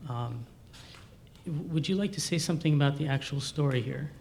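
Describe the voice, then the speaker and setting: A man's voice: fairly quick, a little mellow. A man introducing a performance in unscripted talk, hesitant and searching for his words as he goes. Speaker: composer. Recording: noisy room with low rumble.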